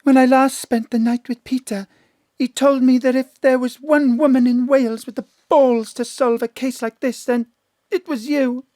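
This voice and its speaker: An actress playing a seductive, melodramatic gangster's widow in a noir-parody audio drama, pleading and flirtatious. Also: female voice, sits high, steady tone, moderate pace, mellow, no echo, clean studio sound, lightly acted.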